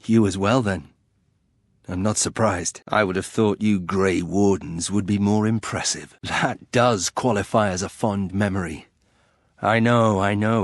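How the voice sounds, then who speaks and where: A man's voice: fairly low pitch, no room sound. An actor playing a bitter, proud young nobleman turned warrior in a fantasy game, with a dry, sardonic, clipped delivery. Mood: dry, wry. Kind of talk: fantasy video game voice acting.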